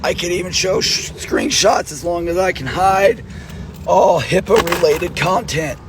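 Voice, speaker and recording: A hoarse male nurse, losing his voice, giving an angry, profane video appeal and clearing his throat often. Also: high-pitched, unhurried, background noise. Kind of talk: direct-to-camera video appeal.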